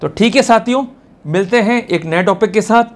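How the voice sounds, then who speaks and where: High-pitched Indian man giving a law lecture. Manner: reads English quotations slowly and deliberately, then explains informally. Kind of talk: explanatory lecture mixing Hindi with English legal terms.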